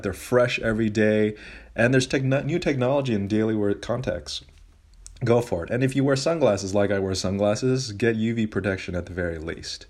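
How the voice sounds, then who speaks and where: A middle-aged man hosting a solo personal finance podcast, talking casually and giving emphatic advice with colloquial asides. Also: on the low side. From grew up in Asia and Zambia, living in the United States.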